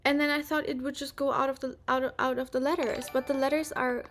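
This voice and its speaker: A woman's voice: high-pitched, little pitch movement, fast talker, fairly bright tone, faint music behind. A woman in her early twenties chatting casually with her stream while doing her makeup, rambling with lots of filler words. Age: 20s. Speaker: livestreamer.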